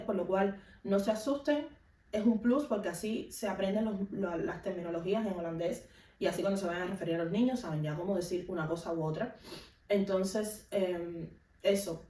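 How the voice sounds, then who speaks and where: A woman's voice: mid-range voice, warm tone. Cuban woman vlogging in an echoey room. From Cuba. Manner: instructive, addresses viewers directly with advice. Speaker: vlogger and music teacher. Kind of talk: casual explanatory vlog talk to viewers. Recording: echoey room, distant microphone.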